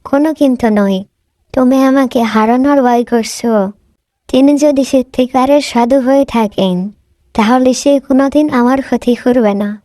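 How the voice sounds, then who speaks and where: Resolute young daughter , a woman voicing her: mellow, slightly high, dry studio. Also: normal speed, dry recording, close to an everyday voice. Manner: calm, earnest, resolute. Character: wise, brave young woodcutter's daughter.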